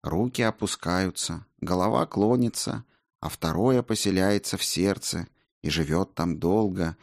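A man's voice: deep voice, a little slow, no echo, studio quality. A man voicing a stern, scornful Buddhist monk teacher in a storybook, speaking in a blunt, didactic way. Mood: instructive.

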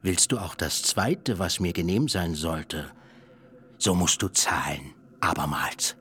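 Ein Schauspieler spielt in einem Hörspiel einen gerissenen, strengen alten Vater, der hart und belehrend verhandelt. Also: male voice, sits low, lively intonation, fairly bright tone, a little hiss or hum, exaggerated voice. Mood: stern bargaining. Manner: measured, lecturing, haggling. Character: shrewd stern old father bargaining hard. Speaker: actor in a radio play. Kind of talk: literary radio drama acting.

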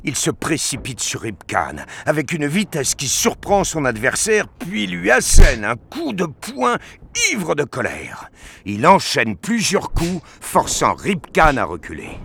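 A man narrating a scripted action story with deliberate, intense dramatic delivery. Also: a little high, huge pitch swings, creaky, bright, forceful tone, a little hiss or hum. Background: prominent music.

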